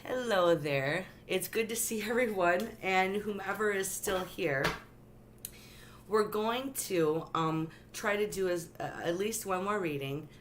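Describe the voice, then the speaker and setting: A woman hosting a live tarot stream, talking chattily to her viewers and reading cards gently, holding back her loud voice because it is strained after coughing. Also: medium pitch, unhurried, slight background noise. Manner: says she has a loud voice but keeps it soft.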